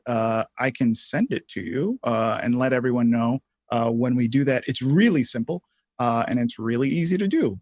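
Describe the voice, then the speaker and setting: A man hosting a casual live software tutorial, thinking aloud in a halting way as he explains things step by step. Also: medium pitch, no room sound, studio quality. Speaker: livestream host and software instructor.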